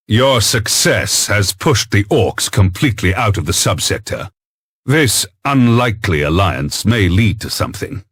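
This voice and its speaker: An actor playing a grave, authoritative imperial high commander in a video game, husky and weighty, with formal delivery. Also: male voice, very animated, unhurried, a bit bright, dry recording, clean studio sound, exaggerated voice. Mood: cautious approval. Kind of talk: video game cutscene acting. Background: music.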